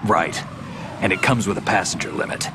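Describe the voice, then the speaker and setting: Weary veteran male soldier, deep, terse, dry, independent, video game cutscene, background noise. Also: fairly quick. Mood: matter-of-fact. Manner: terse, laid-back, dry. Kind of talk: dramatic video game voice acting.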